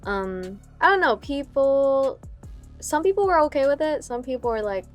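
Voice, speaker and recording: A young woman from Vietnam, a singer talking about her music in a casual interview, with a relaxed, hesitant way of speaking full of fillers. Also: high-pitched, moderate pace. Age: young adult. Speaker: singer guest.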